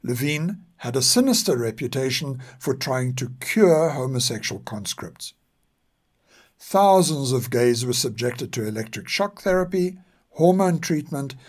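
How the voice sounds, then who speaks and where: Documentary narrator: older male, measured and serious, mid-pitched with an expressive rise and fall. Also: breathy edge. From South Africa. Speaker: narrator and podcast author. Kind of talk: scripted historical narration.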